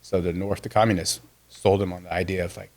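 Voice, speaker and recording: A man's voice: low voice, flat delivery, fast, darkish tone. A man in his fifties, born in Vietnam with Vietnamese as his first language, telling a personal story earnestly as a podcast guest. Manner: earnest, with frequent restarts.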